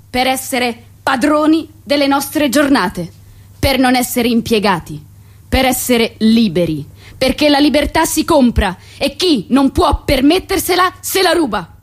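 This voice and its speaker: A young woman acting a stage monologue as a proud, outspoken dreamer with a declamatory delivery. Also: high-pitched. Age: young adult. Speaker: theatre actress. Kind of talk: theatrical monologue. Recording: music under the voice.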